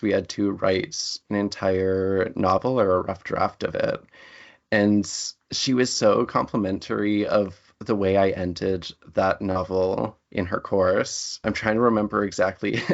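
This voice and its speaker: A writer talks thoughtfully as an interview guest. The voice sits low with plenty of creak in it. The sound is a little dull and lo-fi. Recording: dull lo-fi audio.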